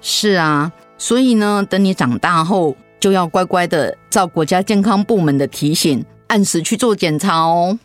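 An actress playing a gentle, hesitant middle-aged mother in a radio drama. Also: fairly low pitch, lively intonation, moderate pace, hardly any pauses, faint music behind, natural voice. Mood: gentle, reassuring. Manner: gentle, hesitant, conversational with soft fillers.

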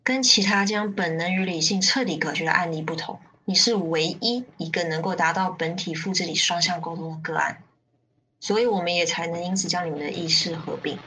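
A woman acting a composed faction leader and narrator in a radio drama, measured and detached in delivery. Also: lowish voice, sharp tone, slightly rough, heavily acted, rough recording. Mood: calm, explanatory.

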